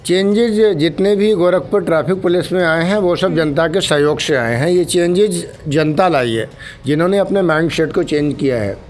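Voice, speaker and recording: A man's voice: fairly high pitch, normal speed, faint music behind. A man, a police officer, giving formal, earnest explanations peppered with English terms in a studio interview. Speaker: senior traffic police officer, interview guest. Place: radio studio.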